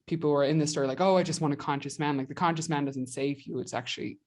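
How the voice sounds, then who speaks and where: A woman's voice: low voice, fairly even intonation, racing, darkish tone. A woman hosting a podcast, speaking in an informal, personal, conversational way and thinking aloud as she goes. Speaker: podcast host. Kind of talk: conversational, personal interview talk.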